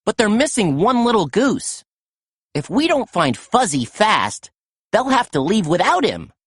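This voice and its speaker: A woman's voice: medium pitch, big pitch swings, measured pace, husky, dry recording, voice pushed up. A woman voicing a confident, caring boy who leads rescue pups in a storybook, giving urgent instructions.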